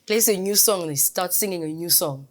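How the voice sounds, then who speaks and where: South African gospel singer, low voice. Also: female voice, fairly quick. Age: young adult. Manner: switches between Zulu and English mid-sentence; earnest, grateful, talks about faith. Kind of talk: casual interview answers.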